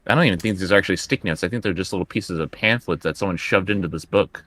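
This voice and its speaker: Male tabletop player, low register, dry recording, hesitant improvised delivery with repeated words. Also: very fast, fairly bright tone, no room sound. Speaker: player in a tabletop roleplaying game. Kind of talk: improvised in-character tabletop play, conversational.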